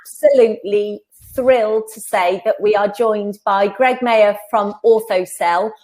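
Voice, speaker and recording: A woman's voice: mid-range voice, a little slow, darkish tone, low-quality recording. A woman hosting a live show, giving an upbeat welcome and introducing a guest over a video call. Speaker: host.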